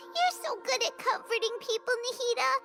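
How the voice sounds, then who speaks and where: A woman voicing a tiny, excitable, childlike floating fairy companion in a video game, squeaky and exclamatory. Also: unhurried. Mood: playful. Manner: exclamatory, refers to self in third person. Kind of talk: video game cutscene voice acting. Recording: loud music behind. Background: game background music.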